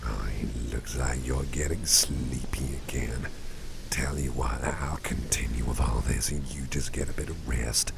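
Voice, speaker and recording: A man playing a caring, rambling boss in a gentle ASMR roleplay, speaking in a breathy, gravelly whisper with folksy wording. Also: very deep voice, fairly even intonation, a little slow, noisy background, full character voice. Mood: soothing. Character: caring, rambling, soothing male boss at home.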